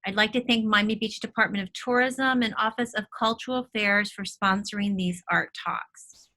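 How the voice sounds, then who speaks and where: Female host, bright and crisp, polished scripted welcome, speaking over a video call. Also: medium pitch, fairly even intonation, normal speed. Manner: polished, welcoming, reads a prepared intro. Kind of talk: scripted introduction and interview.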